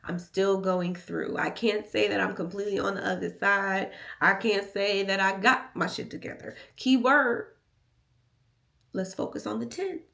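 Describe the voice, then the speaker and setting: An American woman chatting casually and candidly to her vlog audience, playful and emphatic, with a slightly raspy, nasal voice. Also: medium pitch, fairly even intonation, a little slow, a bit bright. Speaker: vlogger. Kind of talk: casual confessional vlog chat.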